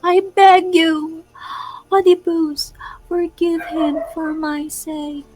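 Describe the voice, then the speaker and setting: A woman playing a concerned, peacemaking queen in a readers' theatre tragedy, with formal, deliberate delivery. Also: high voice, steady tone, darkish tone, breathy edge, in a large hall, soft background music, poor audio. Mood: pleading.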